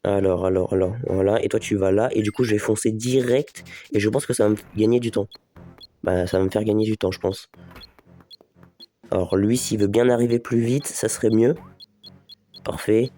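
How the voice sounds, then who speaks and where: A man's voice: on the low side, fairly even intonation, moderate pace, warm tone, soft background music. A man with a slight head cold doing casual let's play commentary, thinking aloud and talking straight to his viewers. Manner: chatty, thinks aloud, talks to viewers as les gars. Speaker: gaming video host.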